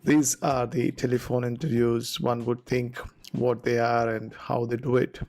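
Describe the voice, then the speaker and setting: A man giving an informal explainer talk to viewers, deliberate and step-by-step in short phrases. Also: medium pitch, little pitch movement, vocal fry, darkish tone. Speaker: presenter explaining visa procedures.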